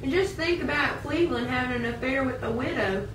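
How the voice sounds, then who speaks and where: A woman's voice: sits high, a little fast, close to an everyday voice. A woman playing an earnest old-time American man in an amateur puppet show. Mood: matter-of-fact. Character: earnest 19th-century American man, farmer or reformer. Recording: echoey room, distant mic, clear noise.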